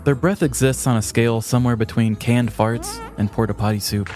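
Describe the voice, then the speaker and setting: A man's voice: medium pitch, fairly even intonation, a little fast, darkish tone. A man podcast host reading a scripted, wry comedic true-crime story. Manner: wry, crude descriptive humor. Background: background music.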